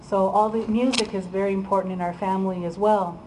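A woman guest speaker talks casually to a room, filling gaps with ums and laughs. Her pitch sits in an ordinary middle range, with faint noise behind her. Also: steady tone, a little fast. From Iowa, USA. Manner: chatty, with frequent ums and laughs. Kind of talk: informal lecture to an audience.

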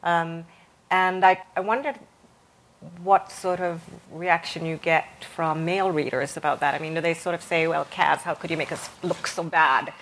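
A woman's voice: low voice, fairly even intonation, normal speed, a bit bright, poor audio. A woman from the West Indies interviewing a writer in conversation, building long, tentative questions with a self-deprecating manner. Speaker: interviewer, fellow novelist.

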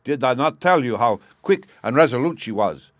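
A man playing a loud, excitable king. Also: mid-range voice, normal speed, dry recording, pitched above the performer's own voice, natural voice. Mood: exclaiming. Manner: loud, exclaiming, emphatic. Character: proud excitable European king, middle-aged.

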